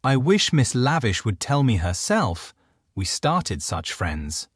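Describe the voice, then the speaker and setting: A man's voice: medium pitch, big pitch swings, slow, husky, no echo, clean studio sound, exaggerated voice. A man voicing a naive, dreamy young Edwardian lady in a storybook, speaking politely and earnestly. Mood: mildly annoyed. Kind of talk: audiobook character dialogue.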